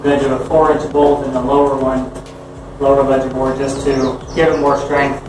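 A man's voice: mid-range voice, monotone, moderate pace, background noise. Guy in his 30s explaining stuff dry and wry, far from the camera, big echo. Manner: dry, matter-of-fact, wry. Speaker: homesteading vlogger. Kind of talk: casual explaining while working. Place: unfinished wooden building, partly open to outdoors. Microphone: camera across the room. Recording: echoey room, voice from a distance.

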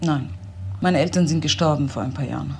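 A woman's voice: deep voice, fairly quick, slight background noise. An actress playing an uneasy, guarded young woman in a German radio crime drama, answering tersely. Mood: subdued, fearful. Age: young adult.

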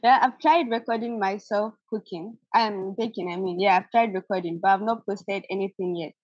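A woman on a video call about starting a baking show, hesitant and nervous, stammering and laughing softly. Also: medium pitch, moderate pace, slightly rough, dry recording. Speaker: caller, aspiring baker. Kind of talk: coaching call. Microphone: video call, audio only. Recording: dull lo-fi call audio.

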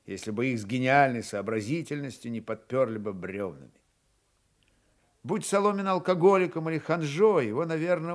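A man narrating a literary radio play in an expressive, theatrical storytelling manner. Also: medium pitch.

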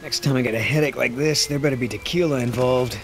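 A man's voice: mid-range voice, quick pace, lightly acted. Wry, wisecracking young mercenary, acted by a man. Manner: wry, muttering to himself. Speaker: game character, mercenary. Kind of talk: naturalistic video game acting.

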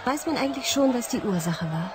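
An actress playing a curious, teasing, fearless young daughter in a radio play. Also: female voice, a little high, lively intonation, brisk, lightly acted. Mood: composed. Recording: loud street crowd noise behind.

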